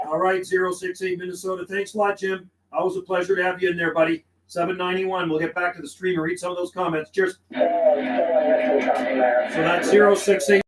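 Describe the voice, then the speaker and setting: A man's voice: high-pitched, brisk, slight background noise, low-quality recording. A man working as a CB radio operator on a livestream, mixing radio calls with laid-back, friendly, slangy chat. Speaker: CB radio operator and live streamer.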